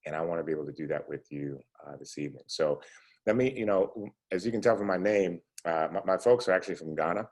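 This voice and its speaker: Deep husky entrepreneur in his forties. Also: quick pace, darkish tone. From United States. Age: 40s. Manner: conversational, lots of "you know" and "right?". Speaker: entrepreneur guest speaker. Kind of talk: casual talk to students.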